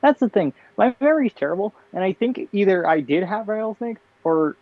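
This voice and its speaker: Tired, flat-voiced young man on a dull mic. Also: high-pitched, fairly quick, no room sound. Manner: flat, tired monotone with bursts of laughter. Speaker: podcast co-host. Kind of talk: casual chat. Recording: dull, dry lo-fi home mic.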